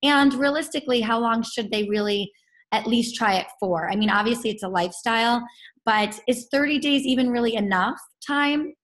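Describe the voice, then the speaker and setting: A woman's voice: on the high side, moderate pace, a bit bright. A woman hosting an online interview, asking long, friendly, rambling questions with fillers, her throat a little sore. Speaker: interview host. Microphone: computer on a video call.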